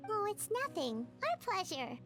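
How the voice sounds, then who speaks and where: Game casting: tiny childlike fairy sidekick, piercingly high, very animated, earnest, easily indignant. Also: female voice, measured pace, over music, exaggerated voice. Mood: curious, pleased. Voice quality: childlike, squeaky. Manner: refers to self in third person, earnest. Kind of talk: video game character dialogue.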